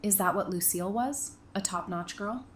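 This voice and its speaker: A woman's voice: medium pitch, normal speed, close to an everyday voice. A woman playing a guarded but compassionate survivor in an audio drama, speaking in a dry, blunt way. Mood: probing, sympathetic.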